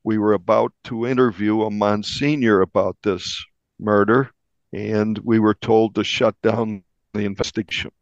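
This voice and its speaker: An American man speaks in a fairly low voice, recounting his reporting in a conversational interview style. He sounds like a journalist being interviewed. The recording is dry and a little dull. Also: steady tone, moderate pace, no echo. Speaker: investigative newspaper reporter, interviewee.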